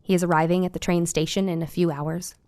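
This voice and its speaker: An actress playing an earnest, eccentric Victorian woman doctor in an audio drama, telling things in a formal, deliberate way. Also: lowish voice, little pitch movement, a little mellow, dry recording, natural voice. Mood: earnest, worried.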